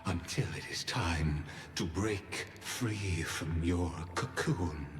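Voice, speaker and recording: This is a velvety, menacing schemer for a game cutscene. He speaks slowly and grandly, his deep voice rising and falling with theatrical relish, over a music score. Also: lively intonation. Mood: menacing calm. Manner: measured, theatrical, quietly threatening.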